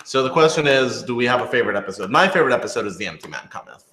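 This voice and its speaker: A man's voice: medium pitch, big pitch swings, very fast, pushed and bright. Informal man in an echoey room. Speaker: radio drama writer answering questions. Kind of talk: casual panel Q&A chat. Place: echoey room, live streamed panel. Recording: roomy, echoey sound.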